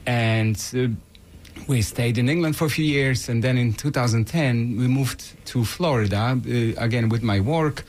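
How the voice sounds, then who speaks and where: A man's voice: medium pitch, darkish tone. A middle-aged man from Poland talks as a radio guest in a Polish accent. He speaks earnestly and with gratitude, and stops often on fillers as he tells his story. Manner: earnest, grateful, halting with fillers. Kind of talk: casual interview talk.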